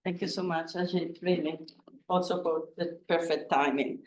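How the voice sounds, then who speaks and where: A woman chairing a conference session, with a loud voice and a friendly, frequently laughing manner. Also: lowish voice, steady tone, normal speed. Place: conference room. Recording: roomy room, faint noise.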